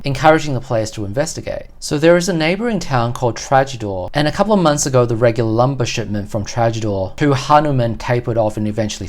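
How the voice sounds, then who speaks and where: A host giving an explanatory unboxing commentary on collectible game books, speaking in a measured, methodical way. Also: mid-range voice, a little mellow.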